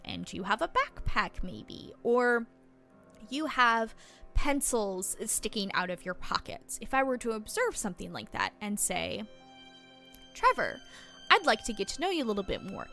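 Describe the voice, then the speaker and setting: A woman playing a patient small-town reporter who coaches a newcomer, speaking deliberately and encouragingly in an improvised story podcast. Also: a little high, a bit bright.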